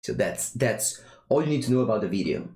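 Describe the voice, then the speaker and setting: A young Italian-accented man with a deep, low voice talks through his card game live, stumbling and restarting as he thinks aloud. His voice carries a roomy echo. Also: very animated, fairly quick, a little mellow. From Italy. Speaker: streamer playing a card game. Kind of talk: casual gameplay commentary.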